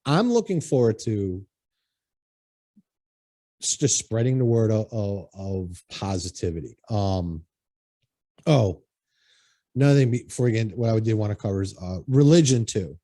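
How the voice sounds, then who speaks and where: Podcast host, man, low mellow voice, rambling and profane, dry close sound. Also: animated, normal speed, pauses often, dry recording. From Connecticut. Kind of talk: casual chat.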